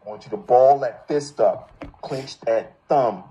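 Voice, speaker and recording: A man's voice: medium pitch, measured pace, mellow. A man coaching voice actors in a group session, encouraging and directive, on dull lo-fi call audio. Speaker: voice acting coach.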